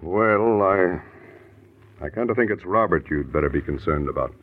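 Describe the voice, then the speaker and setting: A thin, muffled vintage radio recording holds a small-town American sheriff with a low voice. He is plain-spoken and caring, picking his words hesitantly like a worried old friend. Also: lively intonation, normal speed. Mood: sympathetic, hesitant. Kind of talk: 1950s American radio drama acting.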